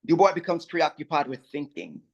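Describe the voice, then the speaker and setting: A man reading stage directions aloud in a measured, even way during a play reading. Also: fairly high pitch, lo-fi sound.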